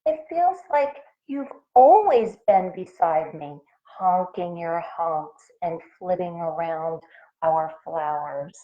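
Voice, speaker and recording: Woman voicing an excitable, anxious little storybook animal. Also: lowish voice, slow pace, highs cut off. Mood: surprised, unsure. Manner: blurting, earnest, emphatic. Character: fearful, excitable small storybook animal, softening to kindness.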